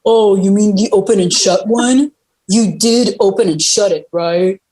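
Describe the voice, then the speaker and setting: A woman reads a needling police detective in a drama table read. The tone is mellow at a middling pitch, the manner defensive. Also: measured pace, lightly acted, poor audio.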